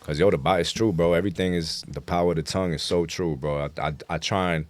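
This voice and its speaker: A man's voice: low voice, steady tone, quick pace, no echo. A man chatting casually as an interview guest, explaining things earnestly in street slang. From New York City.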